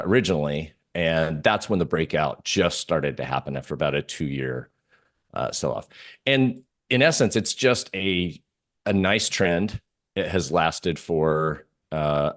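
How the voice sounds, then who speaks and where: A man giving casual market commentary as an interview guest, informal and often repeating himself as he thinks aloud. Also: very deep voice.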